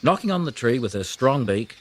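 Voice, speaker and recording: A man narrating a children's audiobook with expressive storytelling delivery. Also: mid-range voice, a little fast, very noisy.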